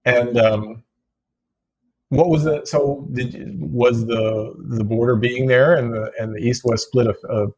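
A man's voice: mid-range voice, steady tone, a little slow, darkish tone, husky, no echo, low-quality recording. A man hosting a podcast interview from a hotel room, speaking through a Bluetooth headset mic. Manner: hesitant, restarts questions. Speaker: podcast host. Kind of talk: interview conversation.

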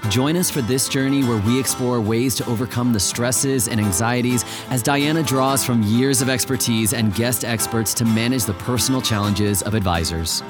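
Man, podcast announcer, smooth scripted read, guitar music underneath. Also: medium pitch, normal speed, few pauses. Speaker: show announcer. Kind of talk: scripted show intro.

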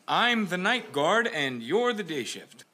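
An actor playing a surly, laconic night security guard in a comedic audio drama, indifferent and unhelpful. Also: male voice, high voice, big pitch swings, unhurried, bright, forceful tone, a little hiss or hum, heavily acted.

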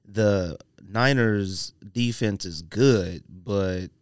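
A man's voice: on the low side, extremely slow, smooth, no echo, studio quality. A man hosting a casual sports podcast, debating picks in an emphatic, argumentative, slangy way.

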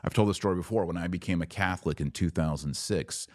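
A man with a very low voice delivers opinionated news commentary as a podcast host. He sounds indignant and emphatic, leaning on short, punchy phrases that he repeats. The sound is dry and close, with no room around it. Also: fast talker, no echo.

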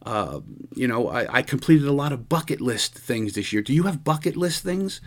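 A man in his sixties hosting a radio show, musing aloud in an earnest, halting way with many restarts. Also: mid-range voice, big pitch swings. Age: 60s. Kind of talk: reflective casual radio monologue.

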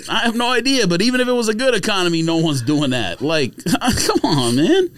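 A man's voice: high voice, sing-song, brisk, a bit bright. A man co-hosting a podcast in casual chat, laughing often and trailing off mid-sentence. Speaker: podcast co-host.

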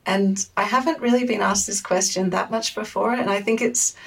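A woman's voice: mid-range voice, fairly even intonation, normal speed, creaky. An Australian woman in her forties talks as an academic interview guest. She speaks thoughtfully and carefully, hedging as she reflects. Her microphone sounds a little distant. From Sydney, Australia. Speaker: guest, media studies academic.

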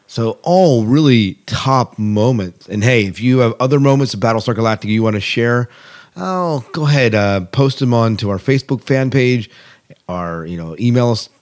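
A man co-hosting a podcast in a casual, chatty style about science fiction. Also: on the low side. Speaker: podcast co-host.

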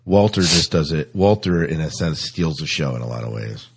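Very deep-voiced man, noisy recording. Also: lively intonation, moderate pace. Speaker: podcast host. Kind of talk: casual, agreeable chat.

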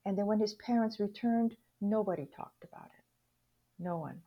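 Husky older woman, slightly muffled recording. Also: medium pitch, expressive. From Hawaii, United States. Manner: reflective, halting, acts out remembered dialogue. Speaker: interview guest, psychologist. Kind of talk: personal storytelling in an interview.

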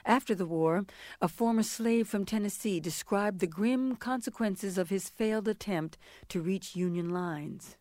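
A woman narrator reading scripted history in a measured, formal manner. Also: mid-range voice, darkish tone, dry recording, clean studio sound.

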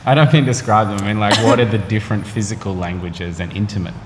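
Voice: low-pitched, fast. An interviewer asking probing questions in casual talk and reframing them as they go. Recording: reverberant room with clear noise.

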